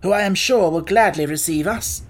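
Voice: medium pitch, moderate pace, pitched above the performer's own voice, close to an everyday voice. A cheerful living scarecrow from a storybook, put on by a narrator, talks in a lifted voice. It is kind and encouraging, with a proud streak. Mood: kindly, encouraging. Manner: kind, encouraging, self-assured.

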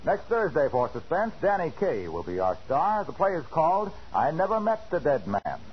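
A man's voice: on the high side, very animated, normal speed. An American man announcing on 1940s radio with a polished, emphatic scripted delivery. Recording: muffled 1940s radio broadcast with music.

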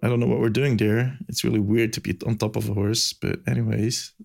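A man's voice: lowish voice, little pitch movement, fairly quick, vocal fry, darkish tone. A man with a Belgian accent hosting a casual gaming podcast, rambling and laughing at himself. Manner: rambling, self-mocking, laughs often. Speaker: podcast host.

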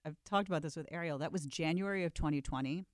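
A woman's voice: sits low, fast talker, dry recording, studio quality. A middle-aged woman, a stage actress, chatting casually as an interview guest with wry, playful asides. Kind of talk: casual group interview chat.

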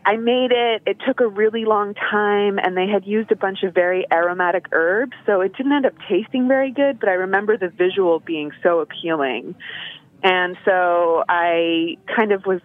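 A woman's voice: mid-range voice, normal speed, no echo. A young woman calling in as a guest, talking casually and laughing often, with many fillers. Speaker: guest on a phone-in. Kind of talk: casual phone interview. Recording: tinny phone line.

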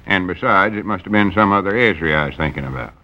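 Sly old backwoods shopkeeper, low voice that swoops around, folksy drawl, muffled old radio sound. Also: male voice, expressive, fast, a little hiss or hum. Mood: evasive. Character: cagey old rural male storekeeper. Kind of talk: 1940s radio comedy acting. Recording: dull old 1940s radio recording.

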